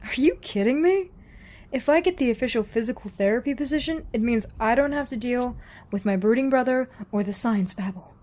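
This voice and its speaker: An upbeat young caregiver played by a woman talks in a fairly high voice. She is kindly and teasing, bantering casually in a naturalistic audio drama style. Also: lively intonation, fairly quick, a little mellow, natural voice. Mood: teasing encouragement.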